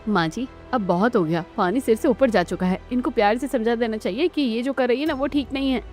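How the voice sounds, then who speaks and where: A woman's voice: fairly high pitch, fairly quick, darkish tone, soft background music, natural voice. A woman voicing an aggrieved young daughter-in-law in a cartoon, emphatic and dramatic. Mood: fed up.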